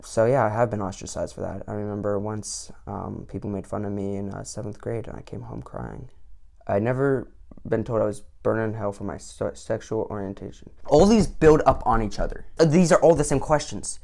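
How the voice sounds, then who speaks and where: A man's voice: on the low side, brisk, vocal fry, darkish tone. A teenage boy giving sarcastic commentary on an online quiz, with mock-indignant outbursts. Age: late teens. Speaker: vlogger.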